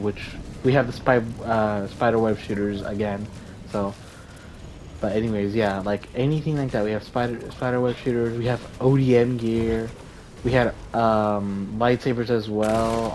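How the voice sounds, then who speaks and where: A man's voice: mid-range voice, noisy background. A young man with a tired voice, talking casually over his gameplay in a rambling way, with lots of fillers and restarts. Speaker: gaming YouTuber.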